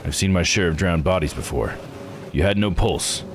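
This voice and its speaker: A man voicing a blunt, plain-spoken man from a visual novel game, gruff and probing. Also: sits low, normal speed, noisy background, natural voice. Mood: stern, accusing.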